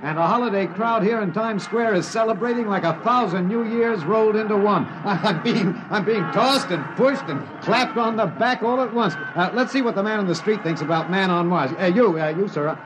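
A man playing an excitable, dogged news reporter in a 1950s radio drama, talking insistently and stumbling when flustered. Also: sits high, moderate pace, hardly any pauses, background noise, lightly acted. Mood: excited. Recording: dull, band-limited old radio recording.

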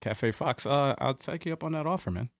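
An easygoing man chats casually as a podcast co-host and bursts into animated exclamations now and then. His voice sits at a middle pitch and is recorded close and dry. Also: a little fast, gravelly, no echo. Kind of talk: casual chat.

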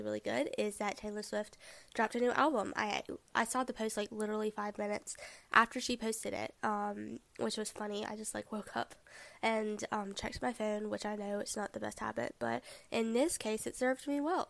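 Young woman, slightly sick podcast host; casual filler-heavy chat, gravelly fry, dry booth sound. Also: a little high, fairly bright tone, no echo. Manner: chatty, many "like" and "um" fillers. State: a little sick. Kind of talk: casual chat, album review.

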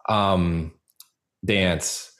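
A man speaking as an interview guest in a casual, earnest way, pausing often as he searches for words. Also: low voice, fairly even intonation, a bit bright. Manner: halting, searching, full of pauses and filler likes.

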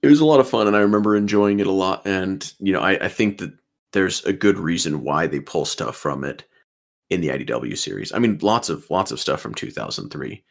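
Gravelly deep-voiced man in his twenties. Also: lively intonation, brisk. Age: 20s. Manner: enthusiastic, repeats words at starts of sentences. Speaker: podcast co-host. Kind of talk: casual chat.

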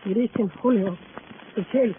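An actress playing a bitter, scornful sister, theatrical and stilted in a 1950s radio drama. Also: female voice, medium pitch, normal speed, natural voice. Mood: bitter, brooding. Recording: muffled noisy old radio recording.

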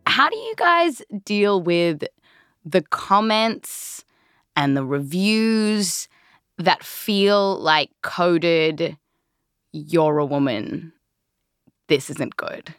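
A woman hosting a podcast, chatting casually with other hosts in a recording studio in a lively, joking way. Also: lowish voice, extremely slow, smooth, dry recording. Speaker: podcast host. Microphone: studio microphone.

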